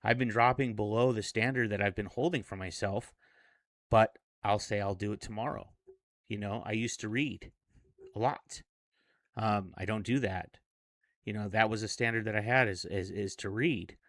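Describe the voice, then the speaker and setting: Man, forties, lowish voice, earnest halting delivery, casual vlog monologue, dry close sound. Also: steady tone, fairly bright tone, no room sound. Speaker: self-improvement vlogger.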